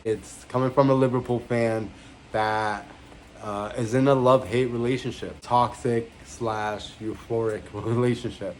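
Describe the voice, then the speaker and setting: A man's voice: medium pitch, steady tone, measured pace, a little mellow, slight background noise, poor audio. A man in his thirties, born in Egypt, hosting his own channel and talking casually to camera in a rambling way with fillers and self-corrections. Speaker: channel founder and host. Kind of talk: casual vlog monologue to camera. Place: indoor room with a banner behind.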